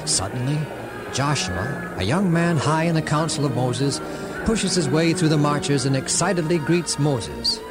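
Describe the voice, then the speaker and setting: A man narrating a scripted, dramatized children's story in a deliberate storyteller's manner. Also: a little high, animated. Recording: music under narration.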